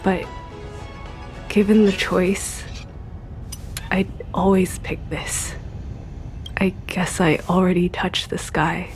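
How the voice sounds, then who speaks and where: A woman's voice: on the low side, steady tone, slow pace, long pauses. A woman voicing a devoted, earnest young woman in a dramatic video game dub. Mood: teasing, fond. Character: gentle, devoted young woman, fighter pilot or pilot's daughter. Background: music.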